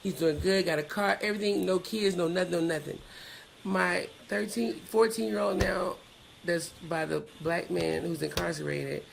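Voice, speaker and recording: A middle-aged woman with a low, gravelly voice tells her story frankly as an interview guest. She speaks in short, punchy phrases, with some noise behind her. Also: fairly even intonation, normal speed. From Georgia, United States. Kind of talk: candid conversational storytelling.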